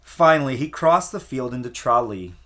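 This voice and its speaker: A man reading a children's picture book aloud as a teacher, with an expressive storytelling cadence. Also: mid-range voice, moderate pace.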